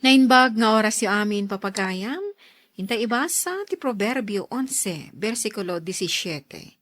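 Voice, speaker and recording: A woman hosting a radio drama, narrating a script in a measured, declamatory way. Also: mid-range voice, a bit bright, no room sound. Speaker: radio drama host and announcer. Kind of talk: scripted solemn programme narration.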